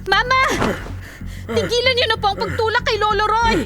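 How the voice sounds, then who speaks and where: A woman's voice: very high-pitched, steady tone, normal speed, creaky, loud music behind the voice. A woman playing a distressed, protective young granddaughter in a Filipino radio drama, urgent and pleading. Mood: indignant pleading.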